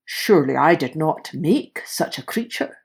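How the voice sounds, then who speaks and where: A woman's voice: sits low, animated, a little slow, vocal fry, darkish tone, husky, pitched below the performer's own voice, exaggerated voice. A woman voicing a haughty, imperious male Creator god in a children's storybook tale. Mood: disgusted. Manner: imperious, pronouncing.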